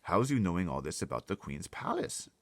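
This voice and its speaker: A man puts on a huge, friendly storybook giant. He is simple and unsure of himself, asking things in muddled, quaint grammar. The voice has a gravelly creak. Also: sits low, sing-song, brisk, exaggerated voice. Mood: worried, puzzled. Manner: ungrammatical, quaint speech, questioning. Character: big friendly male giant, simple, timid, wondering. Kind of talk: storybook read-aloud.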